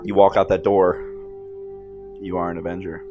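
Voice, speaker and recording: A man's voice: low voice, little pitch movement, moderate pace, muffled recording, over music. A man giving casual, conversational commentary while watching a film. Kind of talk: casual reaction commentary over a movie.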